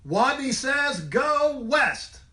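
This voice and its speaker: A man's voice: very animated, extremely slow, bright, forceful tone, reverberant, far from the mic, full character voice. Man as a little rat who says W for R. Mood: growing bolder. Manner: squeaky, trembling, gaining strength. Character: tiny timid young male rat with speech impediment.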